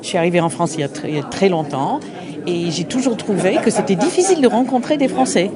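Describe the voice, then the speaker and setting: Woman, halting, crowd chatter behind. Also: mid-range voice, big pitch swings. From United States. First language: English. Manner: halting, searching for words, many restarts. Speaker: association representative. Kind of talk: interview at an open day. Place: busy open-day event.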